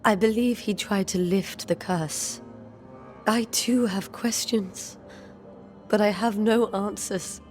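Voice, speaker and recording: A refined widow of a colonial settlement, voiced by an actress, grieving but composed. She speaks formally and carefully at a middling pitch, in a video game drama with faint music behind. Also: fairly even intonation, darkish tone, lightly acted. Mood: mournful. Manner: formal, measured, sorrowful.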